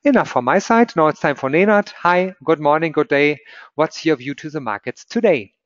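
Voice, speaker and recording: A man's voice: on the high side, normal speed, dry recording. Upbeat German-accented male host, dry muffled webinar audio. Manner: upbeat, scripted intro with disclaimers. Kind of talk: presenting a trading webinar. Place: office.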